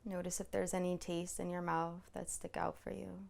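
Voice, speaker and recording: A woman meditation instructor leading a guided body scan in a soothing, gently reassuring way, unhurried and leaving long pauses. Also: lowish voice, flat delivery, gravelly.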